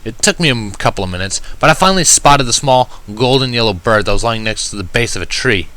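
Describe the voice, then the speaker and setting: A man narrating a first-person story in an audiobook style, reading in a measured, wry manner. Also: medium pitch, animated, pushed and bright. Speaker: fan fiction reader.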